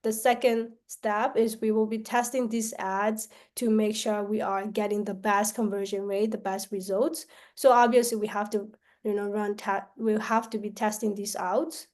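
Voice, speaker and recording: A woman from Myanmar, a salesperson pitching her service on a call, often tagging sentences with questions and restarting them. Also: little pitch movement, moderate pace, a little mellow. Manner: pitch broken up by frequent tag questions and restarts.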